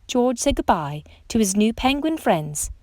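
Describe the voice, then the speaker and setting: A woman reads a children's story aloud in an expressive storytime style. Her pitch moves a great deal, with dramatic emphasis on exclamations and questions. The recording is dry, with hardly any room sound around her voice. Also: a little slow, no room sound. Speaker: storytime reader. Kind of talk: read-aloud children's picture book narration.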